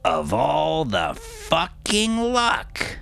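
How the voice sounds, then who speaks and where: A man voicing a cranky, foul-mouthed old crook with a gruff, exclamatory manner. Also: on the high side, huge pitch swings, extremely slow, fairly bright tone, pitched above the performer's own voice, heavily acted. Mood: exasperated.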